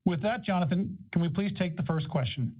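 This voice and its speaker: A man's voice: high voice, brisk. A man moderating a corporate conference call in a formal, scripted way. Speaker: call moderator, investor relations host. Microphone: conference call line. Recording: thin phone-like conference line.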